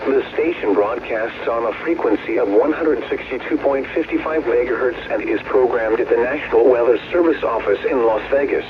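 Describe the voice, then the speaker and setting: A man announcing scripted weather radio station details in a formal, measured recital. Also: mid-range voice, lo-fi sound. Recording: very noisy weather radio broadcast.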